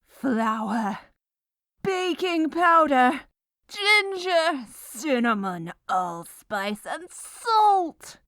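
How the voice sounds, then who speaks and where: Slow, theatrically menacing woman in scripted ASMR. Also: sits high, big pitch swings, fairly bright tone, dry recording, clean studio sound. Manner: theatrical, menacing, gleeful villainous delivery of mundane baking steps. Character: gleefully menacing baker, melodramatic and secretly evil woman. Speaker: ASMR roleplay performer. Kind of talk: scripted ASMR comedic narration.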